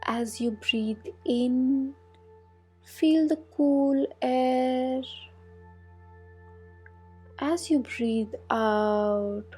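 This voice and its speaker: A woman leading a guided meditation, calmly and soothingly, giving unhurried instructions with long pauses. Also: high voice, husky. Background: soft meditation music.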